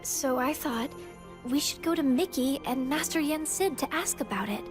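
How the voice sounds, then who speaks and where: Actress for a sweet, curious young game heroine: high voice, long reverb, faint music. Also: female voice, normal speed, close to an everyday voice. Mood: earnest. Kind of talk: scripted video game cutscene acting.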